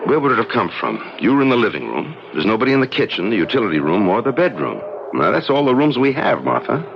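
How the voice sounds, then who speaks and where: An actor playing a practical, skeptical husband in a 1970s radio drama, brusque and matter-of-fact, sometimes impatient. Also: male voice, mid-range voice, very animated, quick pace, vocal fry. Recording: noisy, muffled old radio broadcast recording.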